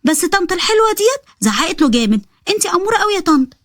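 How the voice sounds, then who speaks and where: A woman's voice: very high voice, big pitch swings, fast talker, no echo, studio quality, voice pushed up. A woman with an Egyptian accent voicing a chatty, innocent little girl who talks in a childish, pleading way. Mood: upset.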